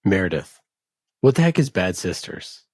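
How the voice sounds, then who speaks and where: A male podcast host jokes his way through an interview. His voice is very deep and a little husky. The sound is close and dry. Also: sing-song, moderate pace, darkish tone, no room sound. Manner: playful, joking. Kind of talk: casual interview chat.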